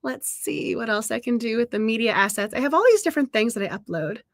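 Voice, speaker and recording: Chatty, encouraging woman streamer, higher-pitched, a bit nasal and hoarse from a cold. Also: expressive, quick pace, no room sound, clean studio sound. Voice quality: a little nasal. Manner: chatty and encouraging, laughs often. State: getting over a cold, slightly hoarse. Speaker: livestream host of a writing session. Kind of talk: casual livestream chat.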